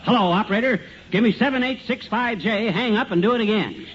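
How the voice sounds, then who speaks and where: An actor playing a brash, excitable middle-aged husband in a 1950s American radio comedy, slangy and blustering. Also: male voice, high-pitched, fast talker, close to an everyday voice. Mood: urgent, eager. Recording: dull, hissy 1950 radio recording.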